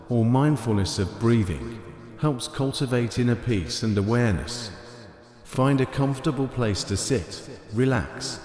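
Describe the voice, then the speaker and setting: A man narrating a scripted guided meditation in a gentle, unhurried manner, with short phrases spaced apart. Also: mid-range voice. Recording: echoey long reverb over ambient music. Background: soft ambient music.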